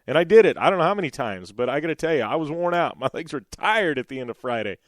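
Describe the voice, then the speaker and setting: A man hosting a motorsports radio show, talking casually with lots of fillers and restarts, his voice a little raspy after a cold. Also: a little high, very animated, quick pace, no room sound. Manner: rambling, many fillers and restarts. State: slightly raspy from losing his voice.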